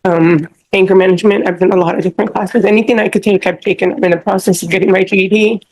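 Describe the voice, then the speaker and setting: A woman's voice: mid-range voice, steady tone, fast, a little mellow, low-quality recording. A middle-aged woman testifying as a defendant in a courtroom, apologetic and pleading.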